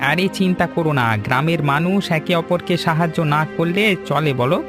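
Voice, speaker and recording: A man as a high-pitched kindly older uncle. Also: brisk, over music, natural voice. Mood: kindly, reassuring. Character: kindly, reassuring older village tea-shop uncle. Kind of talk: cartoon voice acting.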